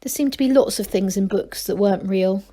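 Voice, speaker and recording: A woman's voice: mid-range voice, fairly quick, warm tone. A woman storyteller reading a children's book aloud.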